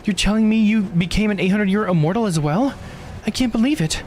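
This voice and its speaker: Ancient immortal woman for a visual novel, played by a man: very high pitch, earnest and wonder-struck, faint music underneath. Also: brisk, a little mellow, close to an everyday voice. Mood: astonished recognition. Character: stunned immortal woman of eight hundred years, earnest.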